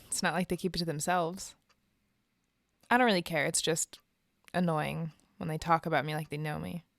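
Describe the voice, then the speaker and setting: A woman's voice: sits low, fairly even intonation, moderate pace, gravelly, no echo, clean studio sound. A woman playing a guarded, self-doubting young trainee in an audio drama, hesitant and downbeat in naturalistic dialogue. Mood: defensive, reluctant. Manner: hesitant, downbeat, clipped answers.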